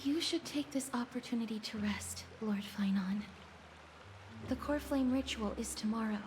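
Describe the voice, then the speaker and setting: A woman playing a gentle, composed young maiden in a fantasy game, speaking in a polite, calm and careful way. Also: on the high side, normal speed, music in the background, natural voice. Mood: gentle concern. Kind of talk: video game character dialogue.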